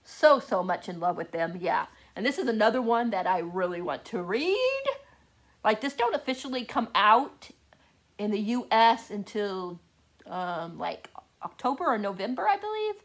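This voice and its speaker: A woman chatting casually to viewers as a book vlogger, gushing and often repeating words for emphasis. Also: medium pitch.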